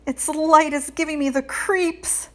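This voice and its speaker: A timid little boy bat from a children's storybook acted out by a woman. The voice is lifted high, with a fretful, uneasy manner. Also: fairly even intonation, measured pace, a bit bright. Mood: frightened.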